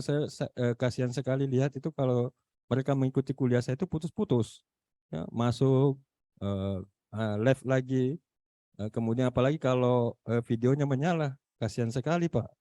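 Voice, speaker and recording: Dark-voiced man with a Makassar accent. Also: mid-range voice, no echo, clean studio sound. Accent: Makassar Indonesian. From Makassar, South Sulawesi. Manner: halting, many fillers and searching for words. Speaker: university lecturer. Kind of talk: online meeting remarks. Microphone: video call.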